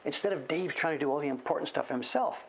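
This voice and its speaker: A man's voice: a little high, quick pace, creaky, slight background noise. A man in his late sixties chatting casually on a livestream about his printmaking work, rambling and correcting himself and often calling himself by name. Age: 60s. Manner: rambling, self-correcting, talks about himself in third person.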